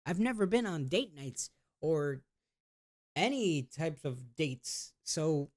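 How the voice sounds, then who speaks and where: A man's voice: fairly high pitch, sing-song, slow. A man in a casual vlog, reading test questions aloud and answering them in a rambling, hesitant way, with laid-back slangy talk. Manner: rambling and hesitant, with many restarts and trailing off. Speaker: YouTuber.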